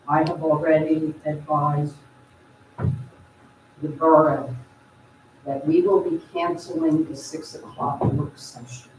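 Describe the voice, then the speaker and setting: A council member giving measured, formal remarks at a borough council meeting. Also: mid-range voice, a little mellow, a little hiss or hum. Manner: measured, deliberate, announcing decisions. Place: echoey council chamber. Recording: dull, echoey hall from far off.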